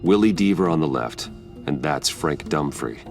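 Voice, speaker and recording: A brooding vigilante hero in a dramatic video game. His voice is extremely low, and he talks in a terse, guarded, serious manner. Music plays behind him. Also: lively intonation, moderate pace, creaky, heavily acted. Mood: focused. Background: background music.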